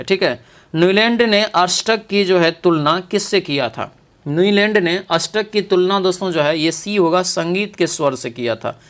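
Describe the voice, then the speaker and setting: A man's voice: a little high, fairly bright tone, smooth. A man teaching an exam practice lesson, reading each question aloud and then confirming the answer.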